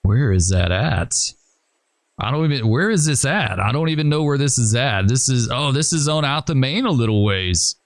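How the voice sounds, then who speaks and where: A man talks through a train simulator game at an everyday middle pitch, rambling and hesitant as he thinks aloud. His voice is a little hoarse, and the recording is dry with no room sound. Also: expressive, moderate pace, no room sound. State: losing his voice. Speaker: gaming channel host. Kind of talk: casual gameplay commentary.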